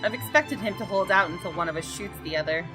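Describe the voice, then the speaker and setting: A woman's voice: on the low side, animated, quick pace, close to an everyday voice, low-quality recording. A woman reading visual novel narration dramatically, voicing the thoughts of an arrogant, menacing gun owner. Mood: arrogant, brooding. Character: haughty, menacing gunman homeowner narrating his thoughts. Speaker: gaming video host. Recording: clear music behind the voice.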